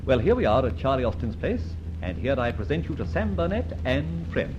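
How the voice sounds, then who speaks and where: An actor playing a suave, playful amateur sleuth in an old-time radio detective drama, with flowery, teasing speech. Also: male voice, medium pitch, big pitch swings, a little fast, noisy background, close to an everyday voice. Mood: jaunty, assured. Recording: dull, muffled old radio recording.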